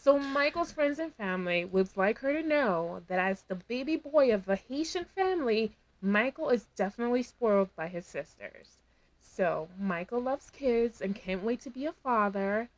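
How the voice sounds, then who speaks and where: An animated woman recaps a show with a fellow host, her voice sitting fairly high. She laughs easily, talks with her hands and throws herself into the lines she quotes. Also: moderate pace, low-quality recording. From Dominican heritage. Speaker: podcast co-host. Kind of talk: casual recap chat.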